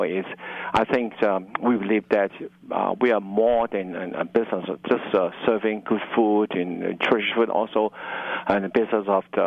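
A middle-aged man with a Hong Kong accent, speaking in halting, fragmented phrases as an interview guest. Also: on the low side, creaky, dry recording, phone-quality sound. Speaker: university dining director, interview guest. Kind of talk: conversational radio interview.

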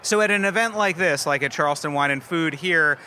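A man hosting a podcast, interviewing a guest at a food festival in a chatty way, with long lead-ins and quick affirmations. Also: on the high side, bright, forceful tone, slight background noise. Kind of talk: casual interview.

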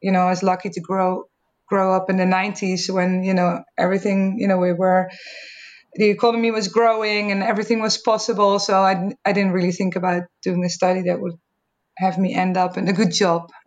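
A woman's voice: medium pitch, flat delivery, fairly quick, muffled recording. A woman with a Dutch accent answering interview questions, often repeating words as she thinks. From Netherlands. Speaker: marketing executive guest.

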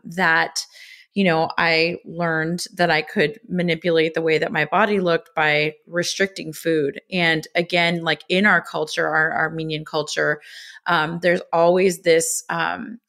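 Candid, lowish-voiced chatty woman. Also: steady tone, unhurried, pushed and bright. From Los Angeles, USA. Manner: candid, open, chatty with small restarts. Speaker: guest, cookbook author and food blogger. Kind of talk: podcast interview.

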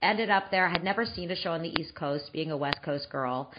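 Woman in her forties, podcast host, lower voice, rambling chat, slightly dull lo-fi sound. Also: fairly quick, crowd noise. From San Francisco Bay Area. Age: 40s. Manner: rambling, many fillers and restarts.

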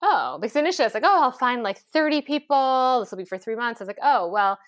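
A woman speaking in an animated, conversational way, full of "like" and "um," as she explains how she built her cooking classes. The sound is dry and close, with no room echo at all. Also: on the high side, fast. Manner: animated, lively storytelling with many fillers. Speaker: founder of a cooking school, guest. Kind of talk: conversational interview.

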